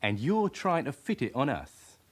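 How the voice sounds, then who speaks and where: A man's voice: mid-range voice, big pitch swings, measured pace. An actor playing a cocky, surly young criminal in his early twenties in a radio drama, with a London accent and a defiant, argumentative manner. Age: young adult.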